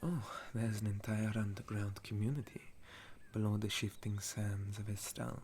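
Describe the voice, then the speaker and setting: A man voicing a gentle, centuries-old sun elf and retired soldier in an intimate ASMR roleplay, soft and breathy, often slipping into a whisper, with a hesitant storytelling manner. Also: on the low side, little pitch movement, a little hiss or hum, natural voice. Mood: relaxed, musing. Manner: slow, hesitant, softly chuckling storyteller.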